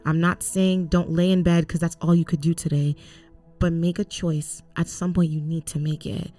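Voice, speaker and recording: A young woman from Cameroon, raised in Canada, talks earnestly on a faith podcast, with the emphatic lift of someone preaching. Her voice sits a little low. Faint music plays behind her. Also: flat delivery, moderate pace, dark tone. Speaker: co-host. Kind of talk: casual faith podcast chat.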